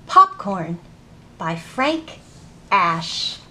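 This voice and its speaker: Animated, slow-paced woman reading to children. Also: fairly low pitch, a little hiss or hum, low-quality recording. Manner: animated, deliberate, sing-song storytime delivery. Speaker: children's librarian and storytime host. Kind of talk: reading aloud and teaching for young children. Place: public library children's section.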